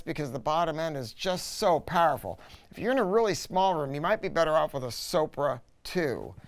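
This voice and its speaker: A man's voice: fairly high pitch, smooth. A middle-aged American man giving a casual, unscripted product review as a dealer, blunt and informal, repeating key words for emphasis.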